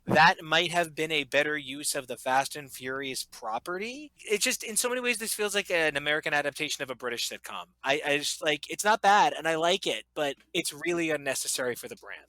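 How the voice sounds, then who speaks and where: A man chatting on a podcast, animated and loose, with a lot of laughter and crude humor. His voice sits a little high and sounds very bright. The mic is close and dry. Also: brisk, hardly any pauses, no echo, poor audio. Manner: animated storyteller, crude jokes, laughs often. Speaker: podcast guest. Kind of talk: casual podcast chat.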